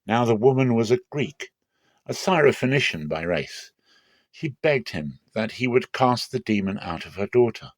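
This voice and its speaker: A man narrating a dramatic Bible reading in a measured, solemn, clearly phrased manner. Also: medium pitch, lively intonation, no room sound.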